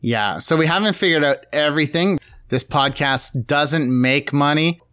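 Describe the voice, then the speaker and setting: Bright-voiced man announcing podcast news. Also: on the high side, normal speed, clean tone, no echo, clean studio sound. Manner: upbeat, announcing. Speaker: podcast co-host. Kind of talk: casual podcast chat.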